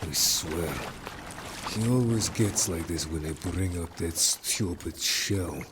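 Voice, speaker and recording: A man's voice: low voice, takes it slowly, noisy background. A man playing a protective, earnest merman in an audio story, speaking bluntly and a little formally to the listener. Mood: worried, wistful. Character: gruff, protective male merfolk, loyal patrolling adventurer. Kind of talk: audio drama roleplay addressed to listener.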